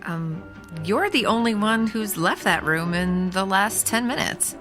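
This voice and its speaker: A woman's voice: mid-range voice, expressive, measured pace, a bit bright, faint music behind. An actress playing a polite, helpful female clinic receptionist in a radio drama.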